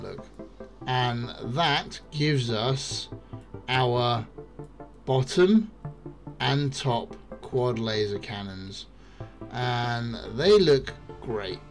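A man narrating a ship-building game tutorial in a relaxed, enthusiastic way with many fillers. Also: mid-range voice, vocal fry. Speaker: gaming YouTuber.

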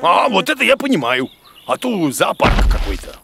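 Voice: mid-range voice, huge pitch swings, normal speed, gravelly, a bit bright, slight background noise, full character voice. An actor voicing a big bearded cartoon creature, blustering, naive and dramatic, swinging between grumbles and exclamations. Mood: bewildered. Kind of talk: children's cartoon voice acting.

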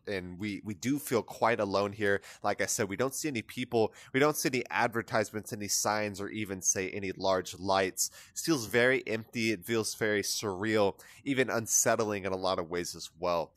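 A man with a somewhat low voice hosts his own art analysis show, talking through a picture in a loose, rambling way. He leans on fillers like "kind of" and "you know" as he goes. The sound is dry and close, with no room around it. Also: fairly bright tone, no echo. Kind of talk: casual spoken art commentary. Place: voice-over on a screen recording of an image viewer.

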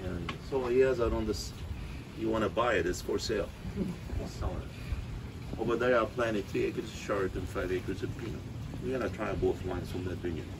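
A man's voice: on the low side, moderate pace. A man in his sixties, originally from Lebanon, casually telling visitors about his winery on an outdoor patio. He talks plainly, rambles through asides and pokes fun at himself. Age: 60s. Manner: plain-spoken, self-mocking, rambling with asides. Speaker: winemaker hosting a tasting. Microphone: phone camera a few meters away. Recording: open-air phone recording with background noise.

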